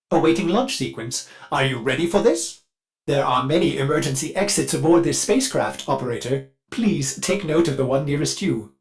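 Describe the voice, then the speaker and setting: A man voicing an anxious, servile male ship computer in a video game, formal and halting, with synthetic processing. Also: a little high. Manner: formal and obsequious, with halting stammers. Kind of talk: scripted video game character acting.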